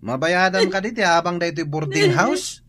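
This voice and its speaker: A man's voice: high voice, expressive, normal speed, fairly bright tone. An actor playing a family man in an Ilocano radio drama, with an Ilocano accent. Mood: angry, defiant.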